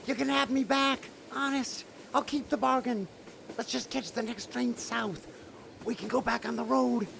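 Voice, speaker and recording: An actor playing a desperate, guilt-ridden middle-aged father and professor in an audio drama, halting and pleading. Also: very high voice, steady tone, vocal fry, background noise, heavily acted.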